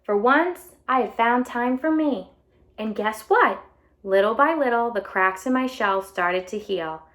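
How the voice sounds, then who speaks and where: A woman's voice: fairly high pitch, animated, measured pace, a bit bright. Storybook good egg, female reader: eager, earnest, anxious to please, emphatic phrasing with stretched key words. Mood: hopeful. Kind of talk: children's storybook read-aloud.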